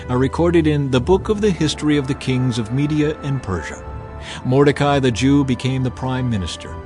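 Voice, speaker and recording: A man reading scripture as a scripted, dramatized audiobook narrator. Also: mid-range voice. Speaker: Bible narrator. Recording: music behind a dry studio voice.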